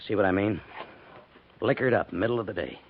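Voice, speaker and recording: An actor playing a stern, disapproving army major, curt and full of warning, in a 1950s radio drama. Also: male voice, on the low side, a little fast, creaky. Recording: dull, noisy 1950s radio recording.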